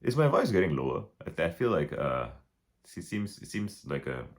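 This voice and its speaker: A man talking through his thoughts in a casual reflective vlog, with a deep relaxed voice, many restarts and fillers. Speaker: vlogger.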